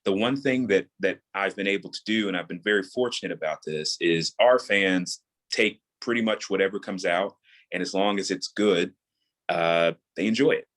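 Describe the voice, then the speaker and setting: Deep-voiced man explaining in an interview. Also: moderate pace, a bit bright. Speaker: guest, audio drama creator and voice actor. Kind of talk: reflective podcast interview talk.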